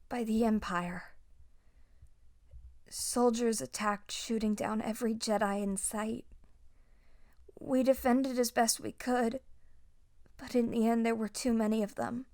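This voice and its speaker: A woman playing a wounded young Jedi survivor in an audio roleplay, gentle and haunted, with a soft, hesitant delivery. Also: on the high side, no echo, clean studio sound. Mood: somber. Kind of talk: dramatic first-person audio roleplay.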